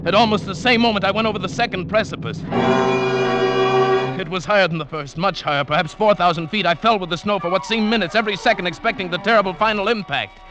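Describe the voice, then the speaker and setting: An actor playing an earnest, superstitious South American mountain guide telling his perilous adventure in a measured, dramatic 1940s radio style. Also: high voice, lightly acted. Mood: tense, urgent. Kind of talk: 1940s-50s radio drama acting and narration. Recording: vintage radio recording with music.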